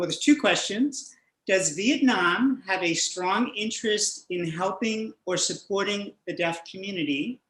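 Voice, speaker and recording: An interview host who reads out viewer questions in a measured manner and keeps the guest going with soft listening sounds. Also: medium pitch, roomy sound, low-quality recording. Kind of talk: hosting a live-streamed interview.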